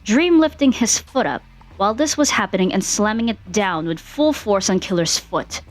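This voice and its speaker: A woman reading fan fiction aloud as an expressive narrator, with music behind her. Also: mid-range voice, moderate pace.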